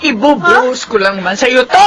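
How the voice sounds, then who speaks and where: A woman's voice: a little high, fairly quick, a bit bright, close to an everyday voice. A woman with a Filipino accent playing a vengeful, menacing jealous wife in a radio drama.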